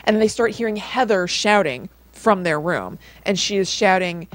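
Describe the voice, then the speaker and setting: A woman's voice: mid-range voice, lively intonation, normal speed, no room sound. A woman co-hosting a podcast, telling a story in a casual, conversational way with lots of informal filler phrasing. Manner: informal, peppers speech with like and kind of. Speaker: podcast co-host.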